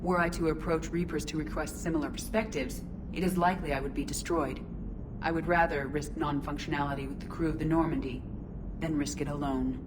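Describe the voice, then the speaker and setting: A woman playing an earnest, logical, curious artificial intelligence in a video game, speaking in formal, measured, precise phrases. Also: deep voice, fairly even intonation, noisy background, lightly acted. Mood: matter-of-fact. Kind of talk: scripted video game voice acting. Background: music.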